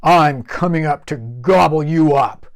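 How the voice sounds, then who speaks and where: A man's voice: a little high, a little slow, pitched above the performer's own voice. A man voicing a hungry, grumpy male troll who roars and growls at those crossing his bridge. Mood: gloating. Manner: roaring, gruff.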